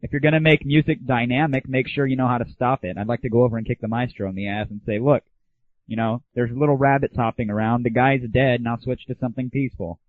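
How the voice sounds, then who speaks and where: A man's voice: medium pitch, fairly even intonation, fast talker. A man's voice comes through narrow, phone-like lo-fi internet audio. He hosts a gaming show as an informal one-man rant. His delivery is crude and sarcastic, with exaggerated griping. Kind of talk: informal solo rant and commentary.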